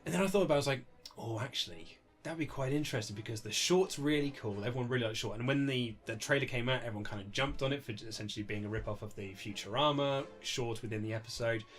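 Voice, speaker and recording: A man's voice: medium pitch, quick pace, fairly bright tone. Chatty man telling anecdotes. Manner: chatty, anecdotal, acts out quotes, mild swearing. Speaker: interview guest, author and writer. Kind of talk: casual animated interview chat. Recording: lo-fi amateur setup, music underneath.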